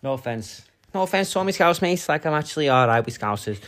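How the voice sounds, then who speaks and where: A man's voice: on the high side, lively intonation, quick pace, a bit bright. A young man from Manchester with a Mancunian accent, co-hosting a casual couple's podcast, joking and swearing as he talks. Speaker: podcast co-host. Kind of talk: casual chat.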